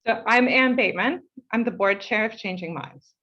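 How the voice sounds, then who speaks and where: A woman's voice: mid-range voice, muffled recording. Heartfelt New woman reading a speech. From United States. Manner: measured and heartfelt, slowing for emphasis. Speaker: board chair. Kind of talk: reading a prepared speech at an online meeting.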